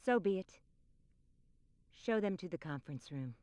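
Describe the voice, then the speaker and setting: An actress playing a stately, stern queen in a fantasy video game, with measured, imperious, archaic formal speech. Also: lowish voice, lively intonation, darkish tone, no room sound, lightly acted. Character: regal, authoritative monarch, stately and stern.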